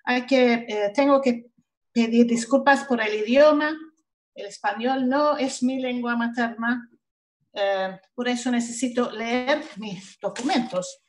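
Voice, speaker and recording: A woman with a Swedish accent speaks in her second language, reading deliberately from her notes with small pauses. Her voice sits a bit high. She speaks from home over a video call. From Sweden. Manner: careful, deliberate reading with hesitations. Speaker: social worker, panelist. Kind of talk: reading a prepared talk.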